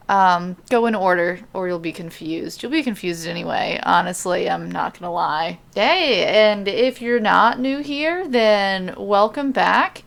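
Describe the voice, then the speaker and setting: Woman, podcast host and storyteller, slow pace, creaky voice, dry self-deprecating humor. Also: mid-range voice, a bit bright. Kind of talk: casual rambling podcast intro, then audiobook-style narration.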